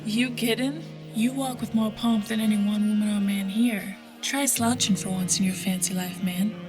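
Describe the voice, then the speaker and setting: A woman's voice: mid-range voice, steady tone, moderate pace, music in the background, lightly acted. An actress playing a tough, sly woman pilot and hunter for hire in an audio drama, blunt, slangy and world-weary. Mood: wry, dismissive.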